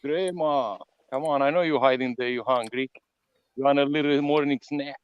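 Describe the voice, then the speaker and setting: A man talks fishing in a halting, playful way, with plenty of fillers. His voice is mid-pitched. The audio is dull and lo-fi, with a dry sound. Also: steady tone, smooth, no room sound. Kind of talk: casual fishing chat.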